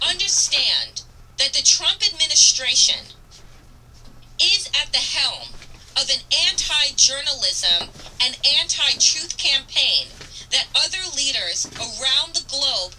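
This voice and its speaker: High, very bright, forceful woman commentator. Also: distant mic, a little hiss or hum, low-quality recording. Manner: emphatic, earnest, deliberate delivery with dramatic repetition. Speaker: radio talk show host. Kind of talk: impassioned political commentary reading from an op-ed.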